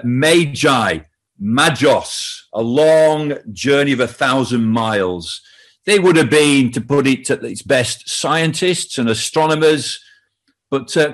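Northern English man, older preacher. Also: mid-range voice. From north of England. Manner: conversational storytelling with frequent "uh" pauses. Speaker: pastor. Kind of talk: sermon.

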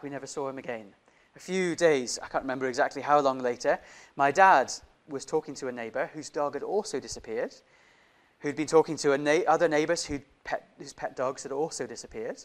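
A man's voice: fairly high pitch, fairly quick. A man who grew up in Indonesia, preaching a sermon in a conversational way, often putting questions to his listeners.

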